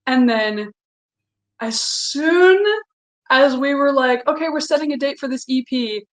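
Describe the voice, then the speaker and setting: A woman's voice: sits high, unhurried. A young woman singer as an interview guest, talking openly in casual chat and laughing often. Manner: open, chatty, laughs often.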